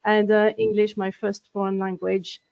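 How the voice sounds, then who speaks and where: A French-accented woman with a creaky, fairly flat voice. She speaks as a webinar panelist, recounting things in hesitant, broken-up phrases. The audio is a little dull and lo-fi. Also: mid-range voice, normal speed. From France. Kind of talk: conversational career story in a webinar. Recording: dull, lo-fi online call audio.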